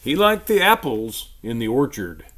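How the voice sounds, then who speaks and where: A man reading a children's storybook aloud in an expressive, emphatic storytelling manner. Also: mid-range voice, unhurried.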